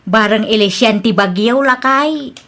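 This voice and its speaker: A woman with a Filipino accent playing a gentle, worried, prayerful elderly mother in an audio drama. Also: on the high side, unhurried.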